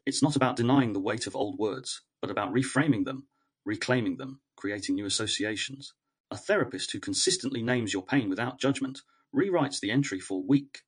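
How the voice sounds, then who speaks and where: A man reading a scripted, reflective essay in a measured, earnest way with short, deliberate phrases. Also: mid-range voice, husky. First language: English. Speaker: narrator of an educational essay series.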